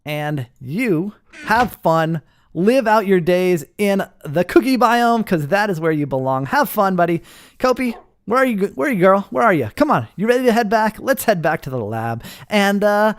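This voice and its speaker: A man hosting a gaming video with casual, playful, excitable roleplay commentary. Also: sits high, a little slow, clean tone, no echo, studio quality.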